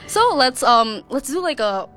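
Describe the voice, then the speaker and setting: A woman's voice: high-pitched, sing-song, measured pace. A young woman from the Philippines co-hosting a radio show in casual chat, laughing often and trailing off mid-sentence. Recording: music playing faintly behind.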